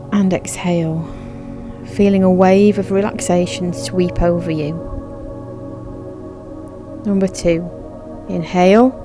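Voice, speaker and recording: A woman narrating a guided meditation in a soothing, measured, instructive manner. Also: mid-range voice, warm tone. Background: background music.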